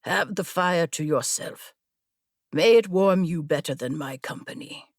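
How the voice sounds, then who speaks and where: A woman playing a weary old male samurai scout, cautious and bitter, with measured, dour speech in a storybook reading. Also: on the low side, no room sound, studio quality. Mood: dour, resigned. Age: older.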